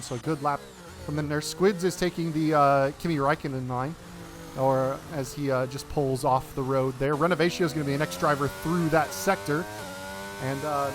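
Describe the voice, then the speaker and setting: A man's voice: fairly high pitch, noisy background. A man with an American accent giving chatty play-by-play commentary on a sim racing league race. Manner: chatty play-by-play with fillers and self-corrections.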